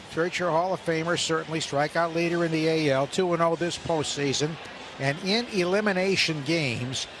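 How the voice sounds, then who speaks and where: An older man calling a baseball game on radio, a play-by-play announcer setting up the game in a measured, informative way. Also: on the high side, noisy background. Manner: measured, informative pregame setup. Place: press box at a stadium.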